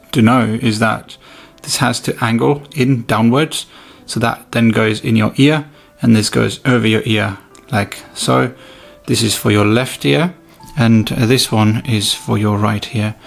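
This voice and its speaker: A man's voice: mid-range voice, creaky. A man doing a casual, hands-on product review, thinking aloud informally as he demonstrates. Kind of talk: casual unboxing and review commentary.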